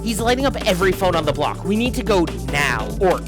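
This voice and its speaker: A streamer voicing a rowdy, defiant street vandal in a game, loud, brash and excitable. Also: mid-range voice, brisk, music in the background, pitched above the performer's own voice, natural voice. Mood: frantic, mocking.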